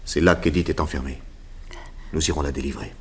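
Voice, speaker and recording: A man's voice: a bass voice, brisk. An actor playing an earnest, indignant male detective hero in an old radio crime serial. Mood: urgent, protective.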